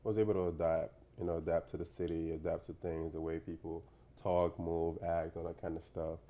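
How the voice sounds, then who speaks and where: Young man from Nigeria, low and flat-toned, relaxed interview guest. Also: fairly quick. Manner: relaxed, rambling, many fillers and "you know". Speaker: professional basketball player, guest. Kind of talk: casual interview talk.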